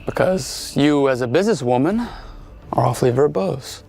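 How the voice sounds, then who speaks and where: An actor playing a brooding, cynical duke in an audio drama, blunt and sardonic, with music underneath. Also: male voice, mid-range voice, expressive, moderate pace, gravelly, a little mellow. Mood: cynical, guarded. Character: brooding, cynical, feared young duke, curt and commanding.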